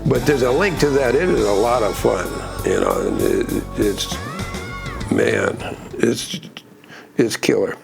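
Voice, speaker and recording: A man with a gruff voice hosting a casual music breakdown, rambling with restarts and plenty of enthusiasm. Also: mid-range voice, very animated, slow pace. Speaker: music channel host.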